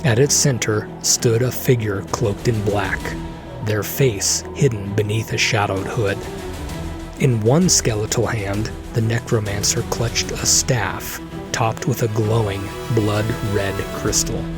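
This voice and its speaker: Slow, solemn male fantasy narrator. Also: on the low side, steady tone. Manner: solemn, deliberate storytelling. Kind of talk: scripted dramatic fantasy narration. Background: loud music.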